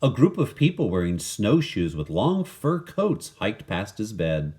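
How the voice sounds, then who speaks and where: A man reading a children's picture book aloud in an animated, dramatic manner. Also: lowish voice, measured pace. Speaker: story reader.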